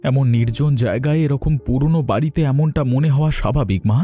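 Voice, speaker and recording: A man voicing a young adult son in a cartoon, concerned, soothing and gently skeptical. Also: mid-range voice, brisk, faint music behind, close to an everyday voice.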